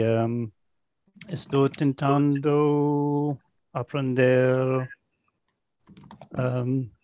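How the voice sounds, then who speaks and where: A man with an American English accent speaking halting beginner Portuguese on a video call, pausing often with long filler sounds. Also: mid-range voice, a little mellow, no echo. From New Jersey, United States. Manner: hesitant, many drawn-out filler sounds. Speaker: Portuguese learner. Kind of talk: halting beginner speech in a language class.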